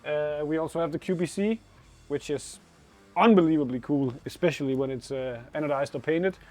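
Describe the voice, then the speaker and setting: A man in his thirties from Denmark, speaking English with a Danish accent as a co-owner of a motorcycle shop, with halting speech full of fillers and laughs. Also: a little high, mellow, faint music behind. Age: 30s. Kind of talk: casual chat. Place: motorcycle workshop showroom.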